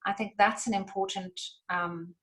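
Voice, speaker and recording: A native English-speaking woman in a relaxed podcast chat. She talks easily as a language coach, with fillers and small restarts. Her voice sits in a middle pitch. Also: normal speed.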